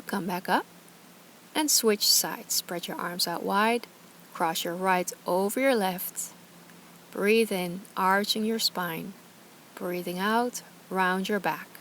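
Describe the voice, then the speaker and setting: A woman leads a guided yoga practice in a gentle, soothing manner. Her voice sits at an average pitch, and she gives short, calm cues. There is some ambient noise behind her. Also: takes it slowly, long pauses. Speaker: yoga and meditation teacher.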